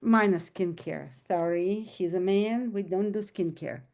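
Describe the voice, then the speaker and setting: A woman's voice: medium pitch, unhurried, vocal fry, a little mellow. Russian-accented woman, earnest guest speaker, emphatic and repetitive. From former Soviet Union. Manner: earnest, emphatic, repeats herself. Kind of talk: casual talk to an audience.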